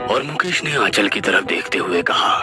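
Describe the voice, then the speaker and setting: A man narrating a horror story in a lively, expressive storytelling style. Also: on the low side, normal speed. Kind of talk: dramatic scripted story narration. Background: background music.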